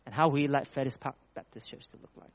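A man's voice: mid-range voice, fast talker, no echo, lo-fi sound. A man from Malaysia preaching a sermon, reading scripture with rising emphasis and then talking plainly with small restarts.

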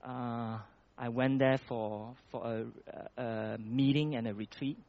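A man's voice: medium pitch, steady tone, slow, dull audio. A man from Malaysia preaching a sermon, reading scripture with rising emphasis and then talking plainly with small restarts.

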